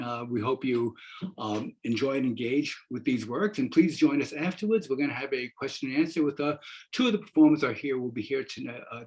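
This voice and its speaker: An older man with a husky voice hosts an online event. He speaks in a halting way, as if giving a semi-scripted introduction, into a computer mic on a video call. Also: medium pitch. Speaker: theater professor and event host. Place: wood-panelled room at home. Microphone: video call, computer microphone.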